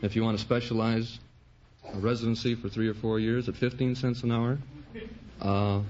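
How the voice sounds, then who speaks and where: Earnest low-voiced young American man. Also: flat delivery, normal speed, darkish tone. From Indiana, United States. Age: 20s. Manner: earnest, formal wording. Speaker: quiz show contestant. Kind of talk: unscripted interview answers. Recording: dull, faintly noisy vintage radio recording.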